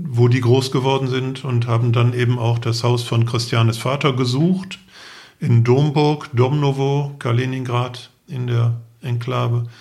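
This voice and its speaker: A middle-aged man with a gravelly, creaky edge and medium pitch tells travel stories. He explains things in detail, as a guest on a podcast. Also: darkish tone. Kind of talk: travel storytelling.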